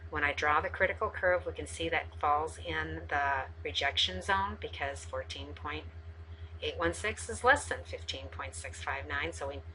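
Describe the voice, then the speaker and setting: A woman with a low-pitched, bright and forceful voice teaches as in a screencast lesson. She explains methodically, one step after another, speaking straight to students. There is faint noise in the recording. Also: normal speed, low-quality recording. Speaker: math teacher. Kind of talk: instructional screencast walkthrough.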